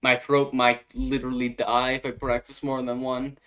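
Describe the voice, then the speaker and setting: A man's voice: medium pitch, level tone, normal speed, bright, forceful tone. A young man with a Danish accent, a native Danish speaker, hosting a casual explainer of his invented language. He talks hesitantly and thinks aloud as he translates on the fly. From Denmark.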